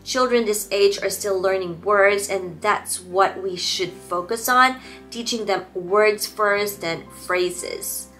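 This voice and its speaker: A woman in her thirties giving a scripted, step-by-step instructional talk as an early childhood educator, clearly enunciated. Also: medium pitch, slow, sharp tone. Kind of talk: scripted instructional presentation to camera. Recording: background music under the voice. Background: music.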